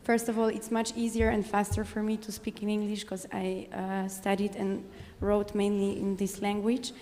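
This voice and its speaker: A woman from the audience, speaking English as a philosopher at a conference, direct and insistent, often pausing with fillers. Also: medium pitch, level tone, mellow, in a large hall. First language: Polish. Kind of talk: conference floor remarks. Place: conference hall.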